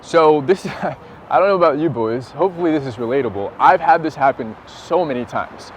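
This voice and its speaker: A man's voice: a little high, animated, a little fast. A man in his twenties with an American accent, chatting casually and presenting as a dating coach. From San Francisco, United States. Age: 20s. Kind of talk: casual street chat and talk to camera. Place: outdoors on a busy London street. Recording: noisy city street, traffic behind.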